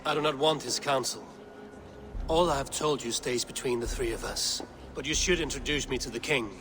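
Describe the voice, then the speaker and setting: An actor playing a stern, blunt male Viking warrior in a game cutscene, speaking in a steady, measured way. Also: medium pitch, music in the background, close to an everyday voice. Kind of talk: video game cutscene acting.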